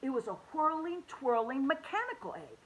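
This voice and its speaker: A woman reading a children's picture book aloud, expressive and animated, dropping to soft hushed tones. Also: sits high, moderate pace. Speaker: children's story reader.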